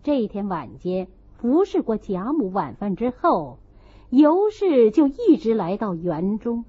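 Audiobook narrator: woman, expressive scripted reading, husky tone, lively intonation, dull lo-fi sound. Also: a little high, unhurried.

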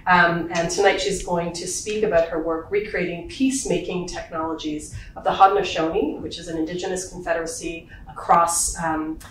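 Woman, host, lecture introduction, lowish pitch, far-off echoey hall. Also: fairly even intonation, slight background noise. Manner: warm, halting with many fillers. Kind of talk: live lecture introduction, reading a bio. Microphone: far room recording from the back.

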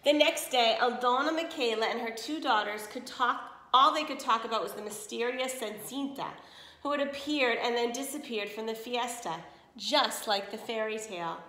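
A woman reading a children's story aloud expressively, pausing to put questions to listeners and explain words. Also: a little high, bright, forceful tone, echoey room, low-quality recording. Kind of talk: expressive read-aloud narration with asides to listeners.

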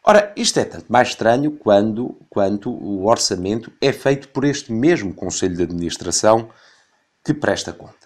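A man in his thirties with a European Portuguese accent, reading a critical radio commentary and reciting long figures in a measured, careful way. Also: fairly low pitch, a little mellow. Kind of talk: scripted opinion commentary. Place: radio studio.

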